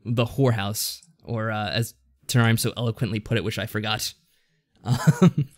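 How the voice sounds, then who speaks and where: A man's voice: on the low side, steady tone, normal speed. A man co-hosting a podcast, recapping things in a casual, hesitant, rambling way. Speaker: podcast co-host. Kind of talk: casual discussion recapping a visual novel.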